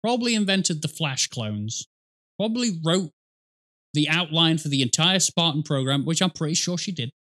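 A man's voice: a little high, animated, moderate pace, pushed and bright. A middle-aged man giving casual gaming commentary as a reaction host, rambling, sarcastic and sweary, close on the mic in a small room.

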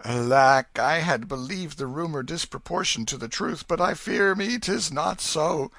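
A stern old king softens into gentle, archaic speech, as a man reading a storybook puts him on. The voice is raised above the reader's own and carries a lively lilt. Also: medium pitch, slow pace, dry recording, voice pushed up, heavily acted. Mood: reproachful. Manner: archaic, soothing, kingly speech. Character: stern aging king, gentle toward his son.

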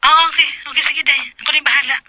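A woman's voice: high voice, fairly even intonation, rapid-fire, sharp tone, no room sound, low-quality recording. A woman with a Cebuano accent acting a concerned, helpful friend passing on news in a radio drama. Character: concerned female confidante reporting news, helpful.

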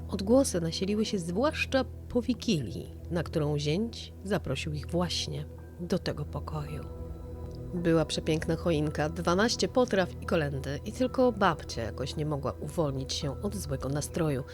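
Low-pitched woman narrating true crime. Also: animated, moderate pace, music in the background. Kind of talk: scripted true-crime story narration, audio drama style.

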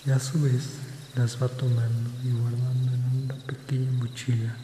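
A man narrates an ASMR fantasy story with little movement in pitch. His measured, restrained voice rings with long reverb over clearly audible crickets and night noise. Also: completely flat delivery, warm tone. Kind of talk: scripted second-person story narration, ASMR. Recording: reverberant, noisy with insect ambience. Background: crickets and birds, night ambience.

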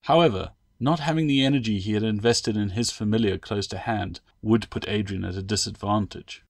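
A man with a fairly low voice narrates a fantasy novel. It is a scripted audiobook reading by the author himself. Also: a little fast, gravelly, dry recording.

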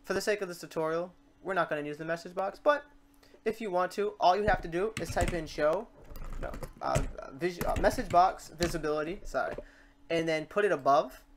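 Casual software tutorial presenter, medium pitch. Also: brisk, a little hiss or hum. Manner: informal, thinking aloud.

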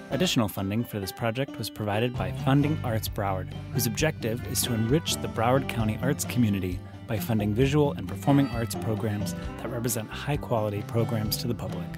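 A man reading scripted credits as an announcer. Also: mid-range voice. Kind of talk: scripted narration. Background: guitar music.

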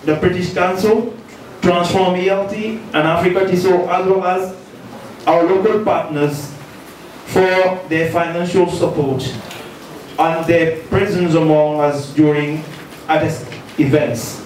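A man's voice: sits high, steady tone, darkish tone. Senegalese man reading a formal welcome speech in an echoey hall. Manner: measured, ceremonious, reading aloud. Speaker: association president. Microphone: handheld mic, filmed from a distance. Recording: echoey hall, distant, faint noise.

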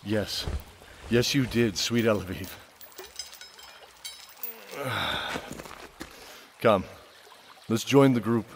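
Male actor as tender battle-worn warrior, lowish voice, unhurried, fantasy audio drama. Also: a little hiss or hum. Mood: warm, tender. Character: kindly battle-worn armored warrior, tender old friend.

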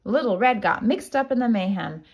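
A woman teacher reading a children's picture book aloud in an expressive, deliberate storytelling manner. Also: on the high side, dull audio, heavy background noise.

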